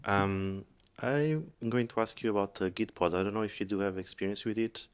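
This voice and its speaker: Man, audience questioner, lowish voice, polite, hesitant. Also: normal speed, smooth. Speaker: audience member asking a question.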